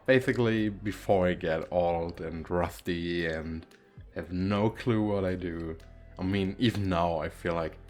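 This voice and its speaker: A man playing a shy, playful single dad on a speed date in an ASMR roleplay, talking casually with hesitant, self-deprecating, halting phrasing. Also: deep voice, darkish tone, faint music behind. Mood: sincere, bashful.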